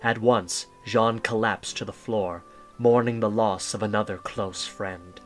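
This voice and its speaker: A man's voice: lowish voice, steady tone, a little slow, faint music behind. A man narrating a dramatic story from a script for an audio drama.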